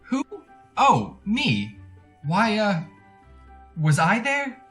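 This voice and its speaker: Pompous husband, lively swooping voice. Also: male voice, sits high, drawn-out words, faint music behind, exaggerated voice. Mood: flustered, evasive. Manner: pompous, formal diction, stammering when flustered. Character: pompous, evasive, henpecked philandering salesman husband. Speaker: actor in a radio drama. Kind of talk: retro 1940s radio comedy-thriller acting.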